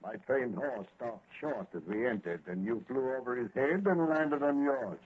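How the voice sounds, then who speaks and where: Guy doing gloating masked bad guy, rough husky voice, old time radio, phone-like sound. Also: mid-range voice, normal speed, lightly acted. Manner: gloating, mock-courteous. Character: smug masked male villain in secret hideout. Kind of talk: 1940s radio acting. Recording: tinny old radio recording.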